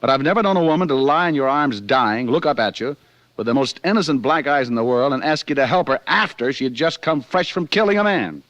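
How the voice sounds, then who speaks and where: An actor playing a slick, wisecracking private detective in 1940s radio style, sardonic and flirtatious. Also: male voice, medium pitch, animated, fairly quick, fairly bright tone, no echo, lo-fi sound, natural voice. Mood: earnest, insistent. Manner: sardonic, flirtatious banter and first-person narration. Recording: 1940s radio broadcast with music.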